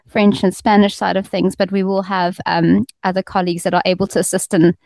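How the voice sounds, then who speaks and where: A woman editor chats about her work in a relaxed, friendly way, filling gaps with ums and trailing restarts. Her voice sits at an ordinary middle pitch. The sound is close and dry, with little room around it. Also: brisk, vocal fry, no echo. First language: Afrikaans second language; first language English. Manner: friendly, fluent with frequent ums. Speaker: magazine editor. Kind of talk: casual interview chat.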